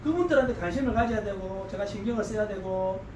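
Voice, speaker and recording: A middle-aged man with a strong Gyeongsang accent gives a joking, animated seminar lecture, often quizzing his audience with rhetorical questions. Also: high-pitched, quick pace, noisy background. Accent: Gyeongsang Korean. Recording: echoey hall, mic at a distance, faint ambience.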